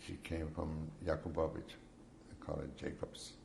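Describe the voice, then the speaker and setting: A man in his seventies from Poland, giving slow, measured answers in an oral history interview. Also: very deep voice, little pitch movement, gravelly, a little mellow, slight background noise. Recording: echoey room.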